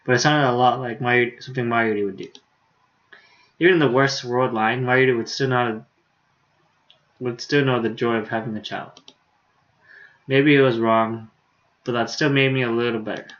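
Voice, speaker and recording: A man livestreaming a visual novel, reading the dialogue aloud haltingly with stumbles and self-corrections, and adding casual commentary. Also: mid-range voice, steady tone, a bit bright, muffled recording.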